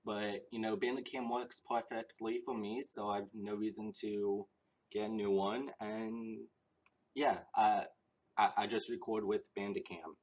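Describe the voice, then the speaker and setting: Earnest low-voiced young man rambling. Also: level tone, unhurried, a little mellow. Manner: rambling with frequent ums and restarts; earnest and eager. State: slightly out of breath. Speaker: gaming YouTuber answering viewer questions. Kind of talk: casual Q&A chat.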